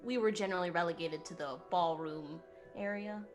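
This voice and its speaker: A woman's voice: lowish voice, brisk. A woman speaking in character as a player in a tabletop roleplaying game. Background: clear background music.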